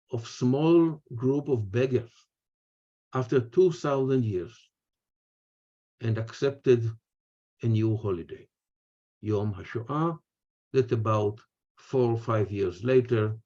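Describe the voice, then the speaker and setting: An older man with an Israeli accent delivers a prepared lecture over a video call. His voice is mellow and relaxed, and he moves in deliberate, measured phrases. The audio is dull and lo-fi. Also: medium pitch, breathy edge. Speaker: speaker, retired history professor. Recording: muffled, lo-fi webinar audio.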